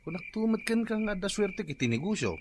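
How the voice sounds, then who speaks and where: An actor with an Ilocano accent playing a playful fish-peddling husband in a comedy radio drama, coaxing and bantering. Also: male voice, high voice, sing-song, fairly quick, slight background noise. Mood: cheerful.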